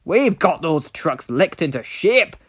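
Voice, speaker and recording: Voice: mid-range voice, very animated, takes it slowly. Narrator voicing an indignant steam engine, big swooping delivery. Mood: smug. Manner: indignant, snorting, scolding. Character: proud, short-tempered Scottish male steam engine.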